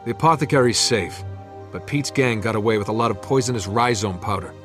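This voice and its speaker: An actor playing a determined, loyal young streetwise hero in a video game, with a husky voice. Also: male voice, on the low side, a little fast, fairly bright tone, close to an everyday voice. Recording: music behind the voice.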